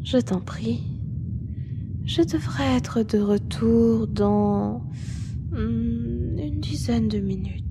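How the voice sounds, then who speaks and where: A woman voicing a composed monster girl medic in an ASMR roleplay, speaking in an unhurried manner. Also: fairly high pitch, music in the background. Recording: clearly noisy background with ambience.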